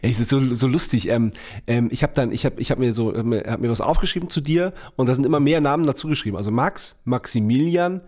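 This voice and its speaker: A man hosts a relaxed podcast chat. He talks in a loose, friendly way, stumbling over his own words with lots of fillers and laughing easily. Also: mid-range voice. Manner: chatty, many restarts and fillers, quick to laugh. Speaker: podcast host. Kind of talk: casual interview chat.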